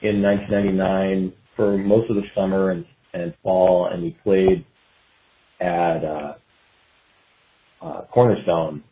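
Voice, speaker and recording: A man's voice: low voice, level tone, a little slow, pauses often. A man chatting casually as a musician guest in an interview, looking back in a hesitant, meandering, filler-heavy way. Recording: dull lo-fi roomy call sound.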